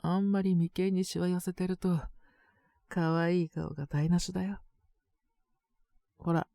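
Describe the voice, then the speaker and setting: A woman playing a boyish, slightly mean but doting teenage girlfriend in a romantic audio drama, speaking in a soft, intimate, teasing way. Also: fairly low pitch, fairly even intonation, a little slow, creaky, dry recording, clean studio sound. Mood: gentle, reassuring. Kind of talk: Japanese romantic situation voice acting.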